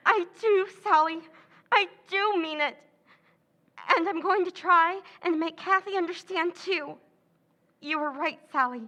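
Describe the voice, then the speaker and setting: A woman's voice: extremely high pitch, unhurried, sharp tone, full character voice. An actress playing a scheming woman who feigns nervous distress in an old-time radio drama, stammering and hesitating. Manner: stammering, hesitant, breathy pleading. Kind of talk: 1940s radio acting.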